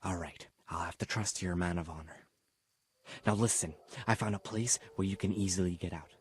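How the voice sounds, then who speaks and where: An actor playing a shifty, scheming camp guard in a video game, with a raspy voice. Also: male voice, deep voice, moderate pace, no room sound. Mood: conspiratorial, instructing. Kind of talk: video game cutscene acting.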